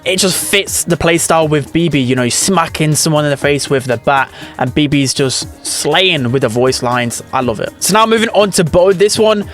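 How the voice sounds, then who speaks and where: A man's voice: on the high side, moderate pace, hardly any pauses, a bit bright, soft background music. A man hosting a gaming video, giving casual opinion commentary.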